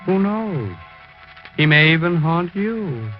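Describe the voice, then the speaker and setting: An actor playing a mischievous, macabre host of a 1940s radio horror show, with a tongue-in-cheek, hesitating delivery. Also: male voice, a little high, big pitch swings, slow, soft background music. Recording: muffled 1940s radio recording.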